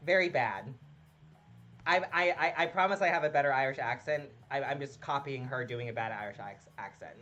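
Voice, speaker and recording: A man's voice: medium pitch, moderate pace, bright, forceful tone, muffled recording, soft background music. A man casually commenting on a game he is playing live, chatting with viewers and greeting them by name, often laughing at himself. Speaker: streamer.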